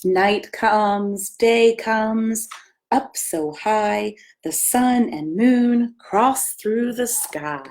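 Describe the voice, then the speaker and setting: An American woman, a pastor, reading a children's story aloud with gentle, simple asides and questions for young listeners. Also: medium pitch, drawn-out words, a little hiss or hum. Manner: gentle, simple questions addressed to small children, often hushed.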